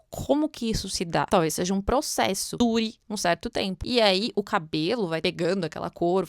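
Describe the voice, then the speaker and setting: A Brazilian woman giving casual, expressive book commentary, explaining things conversationally with rhetorical questions. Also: medium pitch, dry recording, clean studio sound. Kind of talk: casual read-along commentary with fan theories.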